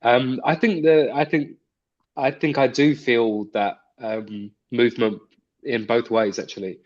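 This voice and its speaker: A man's voice: medium pitch, fairly even intonation, a little slow, a little mellow, dull audio. A man, a guest on a video call, speaking in a hesitant, thoughtful way with many fillers. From East End of London. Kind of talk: reflective conversation.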